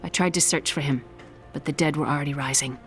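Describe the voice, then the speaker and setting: A woman's voice: low-pitched, steady tone, fast, a bit bright, faint music behind. An actress playing an earnest young woman who survived an attack, speaking plainly and steadily in a video game. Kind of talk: video game dialogue voice acting.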